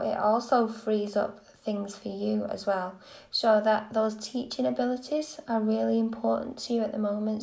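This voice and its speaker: A woman reading tarot on a live stream, gentle and soothing, a little croaky from a cold. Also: medium pitch. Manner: gentle, soothing, lots of okays. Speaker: tarot reader.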